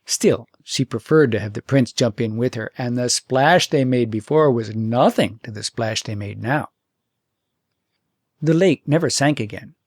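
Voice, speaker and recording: A man narrating a fairytale audiobook in an expressive, deliberate storytelling manner. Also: mid-range voice, no echo, clean studio sound.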